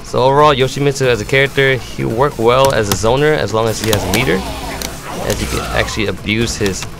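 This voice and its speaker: A man's voice: medium pitch, quick pace, vocal fry. A man giving an instructional commentary on fighting game moves, explaining them step by step as a competitive gamer. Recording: music playing behind the voice. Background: music.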